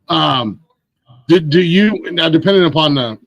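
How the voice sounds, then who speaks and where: A man's voice: a little high, vocal fry, no echo, dull audio. Middle-aged male podcast host, casual, chatty, dry close recording. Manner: chatty, laughs easily, restarts questions. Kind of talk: casual interview chat.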